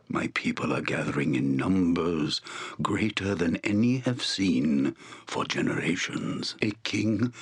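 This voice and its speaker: Very deep gravelly barbarian shaman. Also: a bit bright, heavily acted. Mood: grave foreboding. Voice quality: gravelly, raspy, breathy. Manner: solemn, portentous, formal archaic phrasing. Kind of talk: fantasy video game voice acting.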